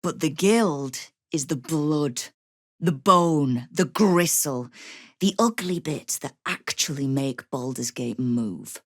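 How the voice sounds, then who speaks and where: Tough female guild boss, low pitch, wry, menacing, commanding, video game dialogue, close dry sound. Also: slow, dry recording. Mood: firm, commanding. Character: tough, sardonic woman crime guild boss.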